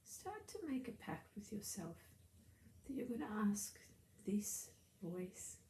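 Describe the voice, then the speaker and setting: A woman leads a guided meditation as a breathing teacher, speaking in a soft, soothing, gently instructive way that turns breathy at times. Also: mid-range voice, very animated, slow. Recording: roomy echo, faint background noise.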